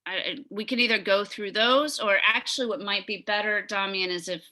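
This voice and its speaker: A woman's voice: mid-range voice, brisk, pushed and bright. Chatty woman chairing a video meeting. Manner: talkative, enthusiastic, trailing restarts. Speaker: meeting chair of neighborhood committee. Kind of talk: virtual community meeting. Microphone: video call.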